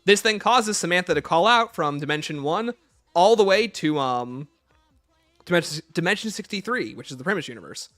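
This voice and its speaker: A man's voice: sits high, animated, normal speed, fairly bright tone. A man hosting a casual livestream, chatting informally and playfully as he answers viewer questions. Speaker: livestream host.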